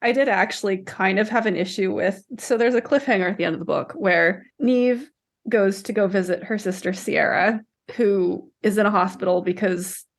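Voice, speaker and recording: A woman's voice: medium pitch, moderate pace. Female podcast co-host, conversational, argumentative but careful to qualify what she says. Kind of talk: casual book discussion.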